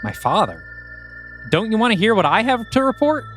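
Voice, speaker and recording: A man's voice: sits high, huge pitch swings, normal speed, fairly bright tone, soft background music, heavily acted. Man acting an anxious, skeptical young engineer. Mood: confused, earnest. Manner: casual, hesitant, puzzled. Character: skeptical, anxious, well-meaning young male tech engineer. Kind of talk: audio drama table-read acting.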